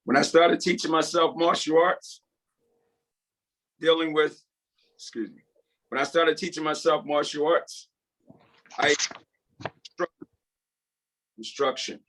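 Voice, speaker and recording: Humble reformed prisoner, read by a man, lighter-pitched, sincere and deferential, halting script reading. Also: steady tone, a bit bright, low-quality recording. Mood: reflective, remorseful. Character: repentant male prison inmate and martial arts teacher, earnest, humble. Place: video call.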